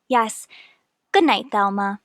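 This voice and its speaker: A woman's voice: medium pitch, lively intonation, takes it slowly, dry recording, studio quality. A woman acting as an inquisitive, persistent wife and amateur sleuth in a radio mystery. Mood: apologetic. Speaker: actor.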